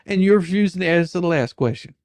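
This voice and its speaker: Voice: mid-range voice, animated, fast, a little mellow, dry recording, lightly acted. A performer playing a pushy, exasperated attorney in a dramatic reading of a deposition, badgering, sarcastic and coarse. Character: pushy, folksy, exasperated attorney questioning a witness.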